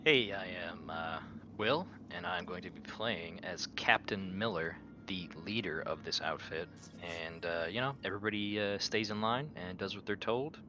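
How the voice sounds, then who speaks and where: A man's voice: deep voice, normal speed. A man who plays in a tabletop game, casually introducing his character. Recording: dull lo-fi sound. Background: music.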